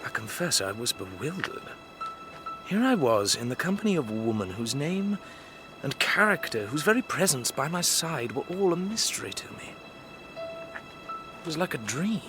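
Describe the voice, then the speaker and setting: A man's voice: fairly high pitch, very animated, normal speed, over music. A man with an English accent playing a courteous, earnest young drawing teacher of 1849, narrating and speaking formally in a literary audio drama. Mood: brooding, intrigued. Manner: well-spoken, formal. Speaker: narrator and lead actor.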